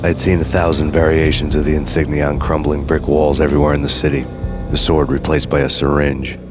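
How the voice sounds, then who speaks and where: A brooding hardboiled detective narrates a noir video game in an extremely low, bottomed-out voice. His delivery is grim, wry deadpan with little melodic movement, over clearly audible music. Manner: slow, grim, poetic deadpan.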